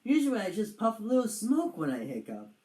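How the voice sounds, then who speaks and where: Storybook dragon boy, timid and whiny but loyal, squeaky, lively intonation, played by a narrator. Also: a little fast, roomy sound. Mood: unsure. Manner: nervous, squeaky.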